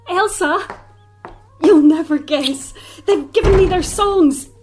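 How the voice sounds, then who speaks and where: A woman's voice: extremely high pitch, slow pace, a little mellow, soft background music. An actress playing an earnest, eager young woman in an audio drama. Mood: excited.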